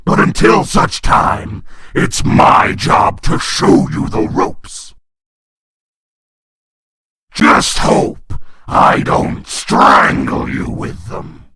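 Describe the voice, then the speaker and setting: A man voicing a crude, wisecracking demon henchman in a comic dub, snide and raspy. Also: medium pitch, huge pitch swings, drawn-out words, bright, forceful tone, heavily acted. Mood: sneering, taunting. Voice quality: raspy, gritty. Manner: taunting, snide. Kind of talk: cartoonish comic dub villain.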